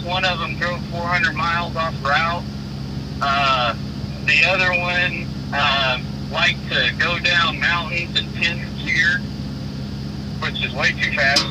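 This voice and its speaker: A young man with a slightly high voice talks on a phone call with loud road noise all around him. He speaks casually as a trucker being interviewed, halting often as he works through his account. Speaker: rookie truck driver, interviewee. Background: vehicle noise.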